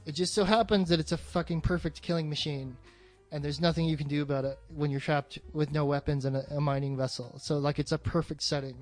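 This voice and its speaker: Higher-voiced man, profane and enthusiastic. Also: fairly even intonation, fast talker, few pauses, soft background music. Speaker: podcast host. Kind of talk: casual movie discussion.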